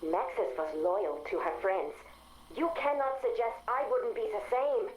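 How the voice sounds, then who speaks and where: A woman's voice: mid-range voice, a little slow, darkish tone, roomy sound, a little hiss or hum, close to an everyday voice, rough recording. An actress playing an earnest female artificial intelligence in a video game audio log, persuasive and imploring. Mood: insistent.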